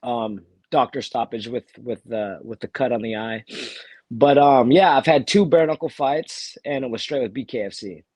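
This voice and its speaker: A fighter from Texas, talking casually as an interview guest while nursing a cold, with many fillers and restarts. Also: male voice, medium pitch, moderate pace, a little mellow, slightly rough, dry recording. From Texas, USA. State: has a cold. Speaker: bare-knuckle fighter, guest.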